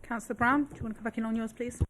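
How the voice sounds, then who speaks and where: A woman chairing a council committee, handing the floor to speakers in a brisk, procedural way. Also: a little high, steady tone, background noise. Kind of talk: chairing a meeting.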